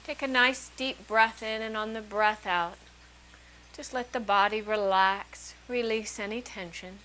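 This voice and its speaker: A woman leading a guided meditation as a teacher, speaking soothingly in short, unhurried phrases with long pauses between them. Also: medium pitch, pushed and bright, low-quality recording. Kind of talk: guided meditation, slow calming instruction.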